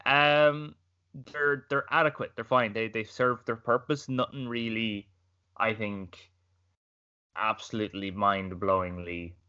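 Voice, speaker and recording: A man's voice: lowish voice, steady tone, a little slow, pushed and bright, clean tone, dull audio. A man co-hosting a casual gaming podcast, giving firm opinions and stumbling over repeated words. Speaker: podcast co-host. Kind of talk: casual chat.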